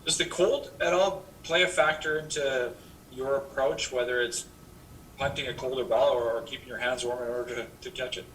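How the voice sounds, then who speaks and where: A man's voice: fairly even intonation, moderate pace, a bit bright. A man asking questions as a sports reporter at a press conference. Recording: distant mic with rumbling noise.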